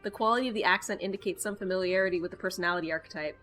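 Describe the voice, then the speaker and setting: A woman with a bright, forward voice narrates a visual novel as she plays it. She reads expressively and drops in casual, wry comments, with faint music behind her. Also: lowish voice, rapid-fire. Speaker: gaming video host. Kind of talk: reading a visual novel aloud with casual asides.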